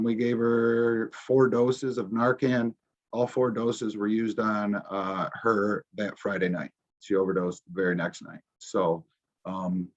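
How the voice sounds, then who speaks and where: A man's voice: lowish voice, darkish tone. Cop guy w a going thru his slides, lots of um and uh, kinda dull muffled audio. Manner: informal, halting, many ums and restarts. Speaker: police officer presenting to the community. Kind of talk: presentation over slides.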